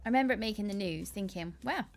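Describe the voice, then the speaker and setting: Lively, laughing woman co-host. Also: mid-range voice, fast talker, soft background music. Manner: chatty, laughs a lot. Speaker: livestream co-host. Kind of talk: casual livestream chat.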